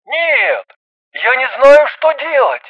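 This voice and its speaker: A man's voice: high-pitched, animated, a little slow, creaky, dry recording, exaggerated voice. A man playing a timid, flustered, pleading stranger calling on the phone in an audiobook, heard through a telephone filter. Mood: pleading panic. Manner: timid, stammering, bewildered, pleading. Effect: telephone or radio filter. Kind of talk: audiobook character acting. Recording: thin band-limited phone-line sound.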